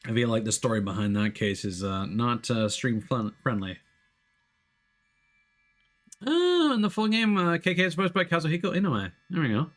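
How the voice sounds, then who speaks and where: A man's voice: medium pitch, expressive, moderate pace, fairly bright tone. A man streaming a game and reading its story aloud as narration, sometimes stumbling and correcting himself.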